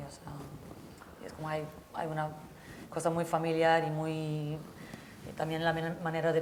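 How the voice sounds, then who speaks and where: A woman chef from Abu Dhabi of Palestinian-Syrian descent, talking in a casual panel, hesitant and full of fillers. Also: low voice. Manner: hesitant, many fillers and restarts. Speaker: chef, restaurant owner. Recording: room with clear background noise.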